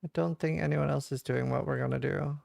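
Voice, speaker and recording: A man's voice: fairly high pitch, fairly even intonation, brisk, gravelly, darkish tone, no room sound, studio quality. A man from Norway, casually chatting and thinking aloud while he streams a game and answers chat questions.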